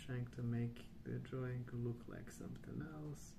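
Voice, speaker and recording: A man speaking softly and hushed in an unhurried, halting ASMR ramble, often trailing off. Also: mid-range voice, fairly even intonation. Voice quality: soft, hushed. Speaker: ASMR video host. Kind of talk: soft-spoken ASMR rambling. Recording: clear background noise.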